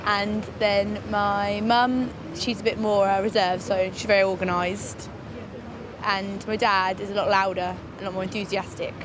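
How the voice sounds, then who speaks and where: A woman's voice: medium pitch, flat delivery, a little slow. Young woman on a noisy street. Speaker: street interviewee. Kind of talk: casual interview answers. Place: outdoors in a city. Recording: clear outdoor urban traffic noise.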